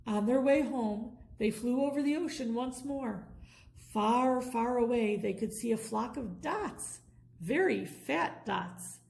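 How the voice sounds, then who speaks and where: A woman reading a children's bedtime story aloud in an expressive, deliberate storytelling manner. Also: fairly high pitch, gravelly.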